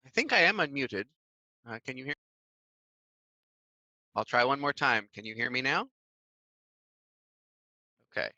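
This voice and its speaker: A man's voice: mid-range voice, big pitch swings, measured pace, pauses often, pushed and bright, dry recording, muffled recording. A man joining by video call as a poetry reader, with an easy, informal delivery. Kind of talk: casual greeting and poem reading.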